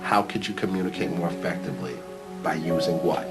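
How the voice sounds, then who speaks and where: A very deep man's voice, coaching someone through a mock job interview with upbeat encouragement. Music sits under the recording. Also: fairly quick.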